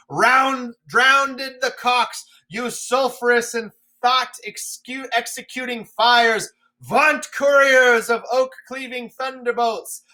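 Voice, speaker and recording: A man voicing a raging old king who shouts defiance at a storm in slow, drawn-out declamation. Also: very high voice, pitched above the performer's own voice, exaggerated voice.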